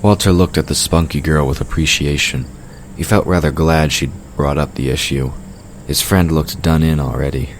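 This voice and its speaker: A man's voice: extremely low pitch, fairly even intonation, moderate pace. A man narrating a fantasy audio drama from a script. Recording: clearly noisy background ambience. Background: outdoor ambience sound effects.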